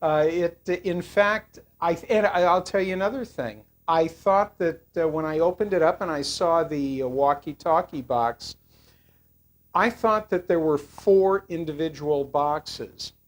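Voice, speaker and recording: An older person giving a casual, unscripted product review in a hesitant, rambling way with frequent pauses. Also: medium pitch.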